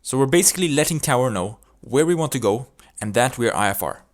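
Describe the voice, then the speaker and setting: A man's voice: medium pitch, lively intonation, quick pace. A man presenting a tutorial, explaining procedures step by step in an instructional narration. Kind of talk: instructional video narration with demonstrated radio calls.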